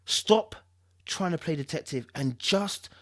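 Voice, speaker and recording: A woman's voice: low voice, expressive, measured pace. A woman voicing a frightened, desperate mother in a thriller story, urgent and dramatic. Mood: self-scolding.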